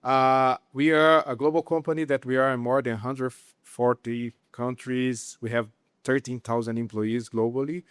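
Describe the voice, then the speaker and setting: A man's voice: medium pitch, flat delivery, normal speed, smooth, no echo. A man from Brazil, introducing himself on a conference panel and sometimes searching for words. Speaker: global head of payroll, panelist.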